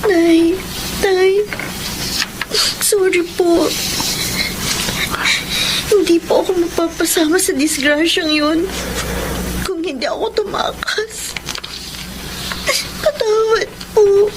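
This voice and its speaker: Radio drama daughter: very high-pitched young woman, headstrong yet tender, emotional delivery, noisy ambient recording. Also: takes it slowly, long pauses. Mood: tearful, remorseful. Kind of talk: Filipino radio drama acting. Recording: noisy track with background ambience.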